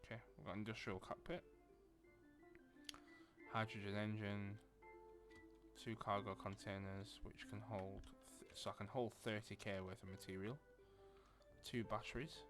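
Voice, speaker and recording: A low-voiced man with a Lancashire accent talks his way through a building game, half muttering as he works out his next step. Faint music and keyboard clicks sit behind him. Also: little pitch movement, measured pace, long pauses. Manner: thinking aloud, muttering while building. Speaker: gaming video creator. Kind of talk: casual gameplay commentary.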